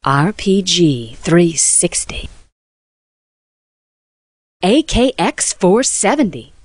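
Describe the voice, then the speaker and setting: A spirited arcade announcer for a racing game. She delivers short, separate, very slow-paced calls with big pitch swings, recorded dry. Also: sing-song, dry recording, exaggerated voice. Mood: announcing.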